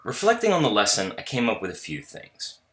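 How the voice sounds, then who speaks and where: A male teacher with a loud voice, narrating a measured, self-critical reflection on his lesson. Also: lowish voice, wildly swooping pitch.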